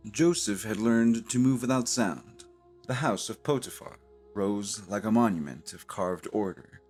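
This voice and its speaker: A man narrating a cinematic audio drama in a solemn, dramatic manner with deliberate pauses. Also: on the low side, a little mellow, soft background music. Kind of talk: slow cinematic scripted narration.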